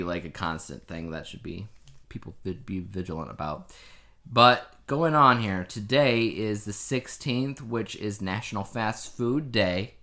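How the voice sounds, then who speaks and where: A man's voice: fairly low pitch, pushed and bright. A man co-hosting a casual podcast, explaining things in a conversational way. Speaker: podcast co-host. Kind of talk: casual two-person podcast chat.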